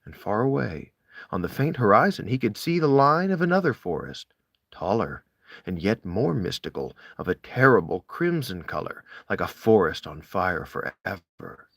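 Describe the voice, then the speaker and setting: A man's voice: lowish voice, very animated, moderate pace, fairly bright tone, no echo, studio quality. A man reading a literary essay aloud with theatrical, emphatic phrasing. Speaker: amateur audiobook reader.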